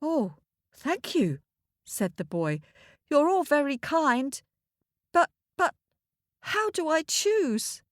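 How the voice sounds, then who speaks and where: A woman's voice: sits high, sing-song, slow, pauses often, dry recording, clean studio sound, pitched above the performer's own voice, heavily acted. A woman voicing a polite, slightly scared young boy who calls out earnestly.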